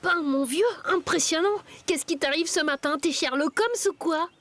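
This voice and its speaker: A woman voicing an eager, easily impressed cartoon schoolboy sidekick with casual, excitable speech. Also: high voice, moderate pace, close to an everyday voice. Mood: amazed.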